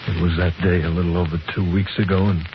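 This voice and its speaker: An actor playing a fearful, haunted man in hiding, halting and anguished, in a 1950s radio drama. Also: sits low, flat delivery, lightly acted. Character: haunted, fearful young fiancé in hiding, desperate and evasive. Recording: muffled, noisy 1950s radio recording.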